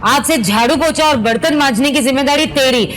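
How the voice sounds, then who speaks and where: A woman's voice: sits high, brisk, a bit bright. A woman playing a bitter, miserly, middle-aged stepmother in an audio drama, scolding and taunting with theatrical sarcasm. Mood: mocking, bossy. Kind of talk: Hindi audio drama acting. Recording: music behind.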